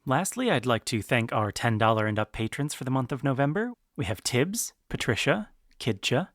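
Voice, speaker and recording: A man's voice: medium pitch, sing-song, moderate pace, a bit bright, no echo, studio quality. A man, a podcast creator, reading announcements in a casual way.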